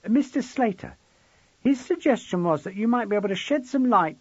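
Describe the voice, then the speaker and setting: A man voicing a polite, easily rattled young woman police detective in an audiobook, with a halting delivery. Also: high-pitched, lively intonation, darkish tone, slightly rough, dry recording, highs cut off, voice pushed up. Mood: politely awkward. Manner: hesitant, halting.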